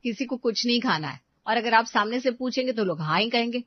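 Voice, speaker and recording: A woman narrating a moral story in a smooth, scripted storytelling way. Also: fairly high pitch, animated, a little fast, dry recording. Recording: dull dry voice-over.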